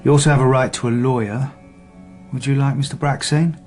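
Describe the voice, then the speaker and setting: An actor playing a calm, dry senior detective in a radio drama, husky and understated. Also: male voice, mid-range voice, a little fast, soft background music, close to an everyday voice. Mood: calm, gently probing. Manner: understated, gently probing. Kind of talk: naturalistic radio drama acting.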